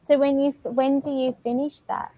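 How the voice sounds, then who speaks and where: A woman's voice: high voice, little pitch movement, normal speed. A woman tutoring English over a video call, asking simple, encouraging questions. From Australia. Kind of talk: online language lesson conversation. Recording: muffled video call with faint noise.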